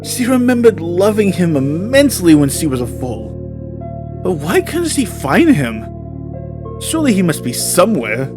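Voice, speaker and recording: A man reading scripted horror fiction as narrator in a measured, solemn storytelling manner. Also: high-pitched, very animated, music in the background.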